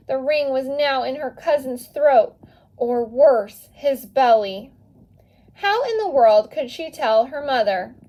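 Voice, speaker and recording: A woman reading a children's story aloud in an animated, expressive way with emphatic pauses. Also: a little high. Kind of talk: animated children's read-aloud with casual asides.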